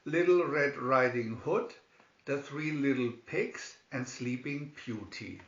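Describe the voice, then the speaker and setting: A man's voice: mid-range voice, slow, fairly bright tone. A man narrating a scripted fairy tale expressively as a storybook reader. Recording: roomy, slightly dull recording.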